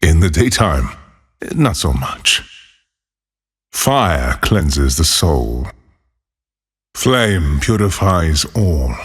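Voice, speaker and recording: An actor playing a solitary, haunted former monk turned lawless desert wanderer in a video game, speaking in a solemn, measured, husky voice. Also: male voice, a bass voice, big pitch swings, exaggerated voice. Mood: weary, reflective, then ominous. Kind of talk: scripted game character voicelines.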